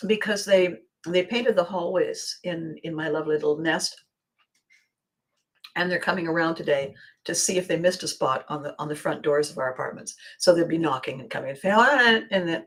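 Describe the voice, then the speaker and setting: Slightly hoarse, low-pitched woman vlogger. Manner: expressive, acting out bits of dialogue. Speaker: vlog host. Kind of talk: casual motivational monologue to viewers.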